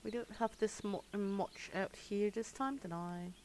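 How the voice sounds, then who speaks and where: A woman's voice: mid-range voice, a little slow. Woman, danish accent, gaming streamer, chatty and laughing, hissy background noise. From Denmark. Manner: chatty, laughs often, talks to chat while playing. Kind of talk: casual livestream commentary.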